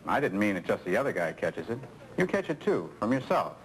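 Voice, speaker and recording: Animated, persuasive American coworker. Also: male voice, on the low side, brisk, low-quality recording. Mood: earnest, coaxing. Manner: colloquial, persuasive, rhetorical questions. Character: seasoned fast-food coworker, folksy, persuasive mentor. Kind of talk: scripted 1970s training-film acting. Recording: 1970s film soundtrack, faint noise.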